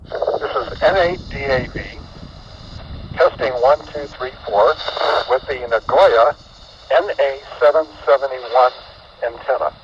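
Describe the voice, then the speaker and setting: A man making a deliberate, measured test transmission, heard over a two-way radio. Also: mid-range voice. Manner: deliberate, counting and spelling out call signs. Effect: walkie-talkie radio transmission. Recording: muffled, noisy, distant two-way radio playback.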